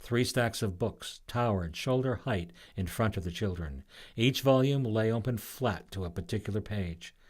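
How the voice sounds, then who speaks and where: Low-pitched man narrating a storybook. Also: normal speed, a little mellow. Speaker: author reading own story.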